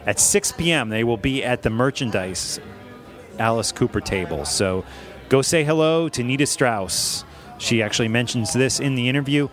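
A man in his forties hosting a music podcast, upbeat and quick to laugh and agree in casual chat. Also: mid-range voice. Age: 40s. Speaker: podcast host.

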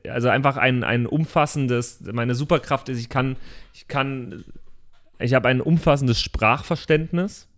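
A man's voice: mid-range voice, clear, resonant tone. A man chatting casually as a player on a tabletop role-playing show. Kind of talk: casual group chat.

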